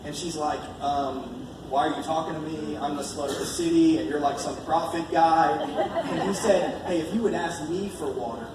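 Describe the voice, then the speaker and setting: A man's voice: on the high side, normal speed. Echoey, distant American man preaching. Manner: colloquial, emphatic, repeating phrases for effect. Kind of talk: informal evangelistic sermon. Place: large echoey room at a live church gathering. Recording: echoey room from far off, noisy.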